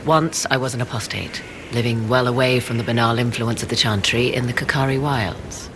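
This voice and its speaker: An actress playing a mysterious, proud sorceress in a video game, speaking in formal, archaic and measured phrases with a low, creaky voice. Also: female voice, background noise. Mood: wry. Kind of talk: video game dialogue acting.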